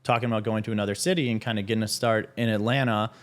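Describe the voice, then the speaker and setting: Chill lower-voiced guy hosting a podcast, asking laid back questions. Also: fairly even intonation, very fast. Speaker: podcast host. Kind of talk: casual interview questions.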